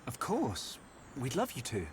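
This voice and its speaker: A man playing a sincere, friendly young hero in a video game, speaking gently and earnestly. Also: mid-range voice, wildly swooping pitch, a little slow, noisy background. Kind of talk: video game cutscene acting.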